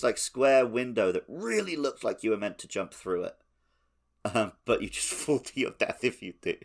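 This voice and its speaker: A man co-hosting a podcast, talking casually and descriptively about video games. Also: fairly low pitch. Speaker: podcast host. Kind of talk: casual video game discussion.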